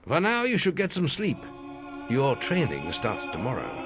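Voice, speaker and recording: A man playing an old guildmaster, a wry mentor and solemn storyteller in a fantasy video game, with deliberate, theatrical delivery. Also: mid-range voice, wildly swooping pitch. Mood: wry, doubtful. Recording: music behind the voice.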